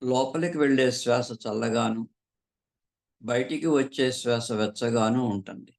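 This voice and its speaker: A man leading a guided meditation as a teacher, calmly and unhurriedly, pausing often between short phrases. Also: medium pitch, vocal fry. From southern India.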